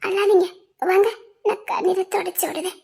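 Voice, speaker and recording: A woman acting a tender young woman in love, soft and tearful, in a romantic drama. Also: very high-pitched, flat delivery, normal speed, vocal fry. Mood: tender, consoling.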